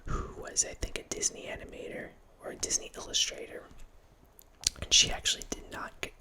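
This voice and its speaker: A man in his thirties whispering a relaxed ASMR ramble as host, close to a studio mic in a small home room, with frequent restarts. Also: brisk. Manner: whispering throughout, relaxed film-nerd musing with frequent restarts. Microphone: studio mic close up, headphones on.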